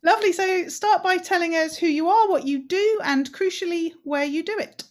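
A woman's voice: very high-pitched, normal speed, no room sound, clean studio sound. A woman hosting a podcast, reading an upbeat scripted welcome and then putting questions to her guest. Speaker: podcast host. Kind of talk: scripted show intro and interview questions.

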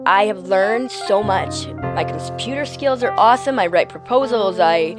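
A woman's voice: mid-range voice, lively intonation, unhurried, music in the background. Outspoken woman, earnest interviewee. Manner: outspoken, earnest, loud-voiced. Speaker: activist interviewee. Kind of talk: casual interview.

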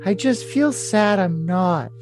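A man's voice: high-pitched, animated, takes it slowly, darkish tone, faint music behind. A man playing a girlish, clingy young woman in a cutesy, overly dramatic reading of text messages. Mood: pleading. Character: girlish, clingy, babyish young woman texting her boyfriend.

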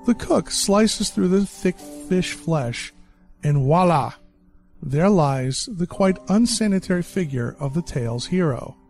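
A man with a slightly raised pitch narrates a short tale, dropping in dry, arch asides. A quiet music bed runs under his reading. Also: animated, slow pace. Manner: wry, arch storytelling asides. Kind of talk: scripted story narration.

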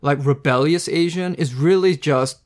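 Man, casual talk, rambling delivery, somewhat high pitch, full of restarts. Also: normal speed. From United States. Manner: rambling, many fillers and restarts. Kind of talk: casual discussion.

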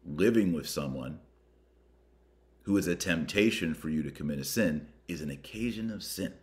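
A man hosting a podcast, reading a document aloud with emphatic, deliberate delivery and adding his own commentary. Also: a bass voice, lively intonation, a little mellow. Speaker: podcast host.

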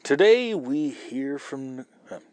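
A man's voice: medium pitch, expressive, slow pace, a little mellow, no room sound. A man hosting a podcast, explaining writing craft in an informal, colloquial way. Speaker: podcast host and novelist. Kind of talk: casual writing advice podcast.

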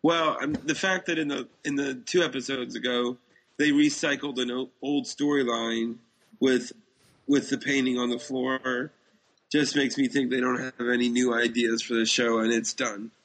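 A man co-hosting a podcast, giving his opinions casually in a skeptical, low-key way. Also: fairly even intonation, normal speed, a bit bright, poor audio. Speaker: podcast co-host. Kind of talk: casual chat about sci-fi TV shows.

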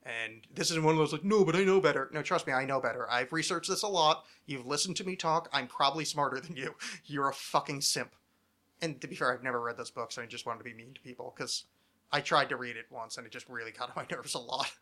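A man's voice: mid-range voice, fast talker, sharp tone. A man hosting a casual comedy podcast, rambling along with sarcasm and plenty of swearing. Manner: sarcastic, rambling, foul-mouthed. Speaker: podcast host.

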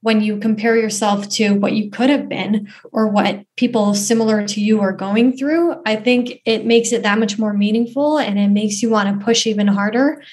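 A woman's voice: medium pitch, fairly even intonation, normal speed, hardly any pauses, a little mellow. A woman, giving earnest, thoughtful answers as an interview guest, often saying "you know" and "um". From Canada. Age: 20s. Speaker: guest, footballer and activist.

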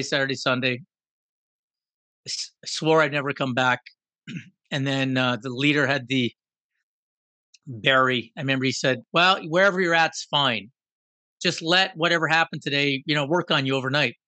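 A man in his fifties from Guyana speaks in a bright, forceful voice that sits a little high. He tells his story in an animated, self-deprecating way, and the sound is close and dry. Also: normal speed, long pauses, dry recording. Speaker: guest. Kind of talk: personal storytelling in conversation.

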